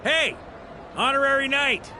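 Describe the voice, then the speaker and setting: A man's voice: very high-pitched, a little slow, pushed and bright, slight background noise, full character voice. An actor playing a breezy, playful young male bard with a light boyish voice in an anime-style video game. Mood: carefree, inviting.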